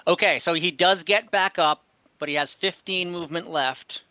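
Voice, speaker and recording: A man's voice: sits high, normal speed, pushed and bright, no echo, highs cut off. A man acting as dungeon master in an online tabletop game, talking casually and counting out moves aloud.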